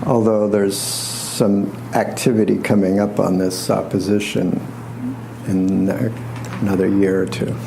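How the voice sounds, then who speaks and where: A soft-voiced man giving an informal astrology lecture to a live group, halting and wryly humorous, with pauses and repeats. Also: fairly low pitch, level tone, vocal fry, noisy background. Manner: wry, self-deprecating humor.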